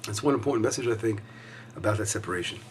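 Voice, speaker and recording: A hoarse man teaching a weekly Torah lesson as a rabbi, talking conversationally with frequent fillers and restarts. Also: fairly low pitch, very fast, slight background noise.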